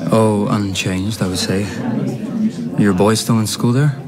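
An actor playing a polite, evasive young gentleman in a radio drama, speaking with courteous hesitancy. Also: lowish voice, fairly even intonation, unhurried, over music, close to an everyday voice.

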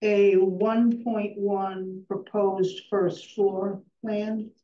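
A middle-aged woman chairing a town zoning board, reading out motions slowly and carefully in a formal tone. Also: mid-range voice, little pitch movement. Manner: measured, reads legal text slowly and carefully. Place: town hall meeting room. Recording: distant, dull echoey public-access meeting audio.

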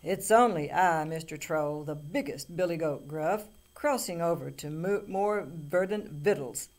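A woman voicing a big, bold male billy goat in a storybook, gruff and emphatic. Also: deep voice, unhurried. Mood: bold, loud. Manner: loud, snappy, emphatic.

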